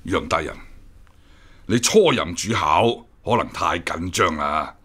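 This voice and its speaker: A male actor with a Hong Kong Cantonese accent playing a guarded, smooth senior imperial examiner, formal and quick to take offence, in a radio drama. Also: sits low, lively intonation, a bit bright, exaggerated voice. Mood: courteous, composed.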